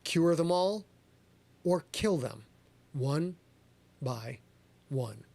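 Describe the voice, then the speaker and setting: A man hosting a livestreamed podcast, reading his own fiction aloud and chatting in a quick, energetic, profane, joking way. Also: on the high side, creaky, no room sound. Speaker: author and podcast host. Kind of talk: livestream chat and story reading. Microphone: livestream camera setup.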